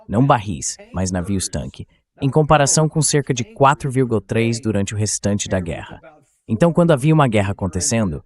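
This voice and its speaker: A man's voice: fairly low pitch, a little mellow. A man voicing a translated dub of political talk, reading questions and commentary as the host.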